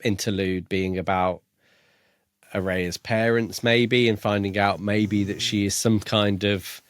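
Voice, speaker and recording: Male podcast co-host, low voice, dry close sound, casual book chat with self-deprecating, hesitant phrasing. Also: steady tone, measured pace, no room sound.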